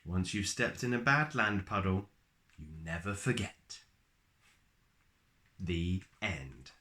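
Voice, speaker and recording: A man with a lowish voice reads a children's picture book aloud. His delivery is slow and highly animated, swooping across a wide range. He leaves dramatic pauses and stretches out words for effect. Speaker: storyteller reading aloud.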